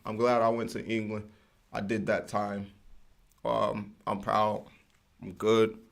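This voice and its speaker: A man's voice: fairly low pitch, creaky, fairly bright tone. A man hosting a livestream in casual, rambling slang with lots of fillers. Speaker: livestream host.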